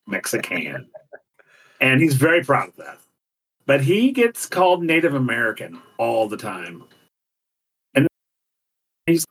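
Man in his forties, podcast co-host, husky voice, sits back from the mic, animated and theatrical. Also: fairly low pitch, distant mic, poor audio. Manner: animated, theatrical, laughs often. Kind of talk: casual chat.

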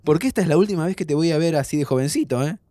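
A male actor as a wisecracking young Argentine guy in an audio drama, fairly high-voiced with very lively intonation. He banters and teases affectionately in a natural way, recorded dry with light music. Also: racing, dry recording, lightly acted. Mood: playful, affectionate. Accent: Argentine Rioplatense. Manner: bantering, joking through emotional moments. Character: playful, wisecracking young man, loyal best friend, terminally ill. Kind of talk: naturalistic audio fiction acting.

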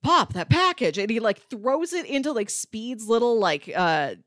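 A woman's voice: on the high side, sing-song, moderate pace, fairly bright tone, no echo, studio quality. A woman co-hosting a podcast, chatting informally about a film and acting out bits of dialogue as she retells scenes. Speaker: podcast co-host. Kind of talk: casual film discussion.

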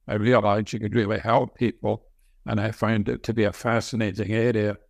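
Older Scottish man, low-pitched and halting. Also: little pitch movement, vocal fry, dry recording, clean studio sound. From Scotland. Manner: halting, repeats words as he talks. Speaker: interview guest, senior medical professor and researcher. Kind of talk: conversational interview answers, reminiscing.

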